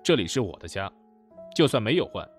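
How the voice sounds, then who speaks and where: Man acting a deep-voiced, firm young lover. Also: big pitch swings, a little fast, faint music behind. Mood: cold, scornful. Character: firm, protective young man, cold to his ex. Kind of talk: web radio drama acting.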